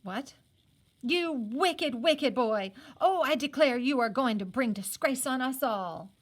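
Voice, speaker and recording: A woman reader plays an indignant colonial housewife in a storybook. She is loud, high and accusing, scolding in folksy old-fashioned words. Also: lively intonation, takes it slowly, exaggerated voice. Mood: outraged scolding.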